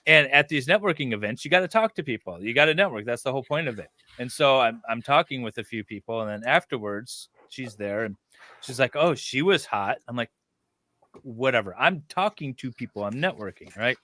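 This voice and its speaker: A man reading a document aloud haltingly and breaking off for casual commentary. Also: medium pitch, lively intonation, pushed and bright, no room sound.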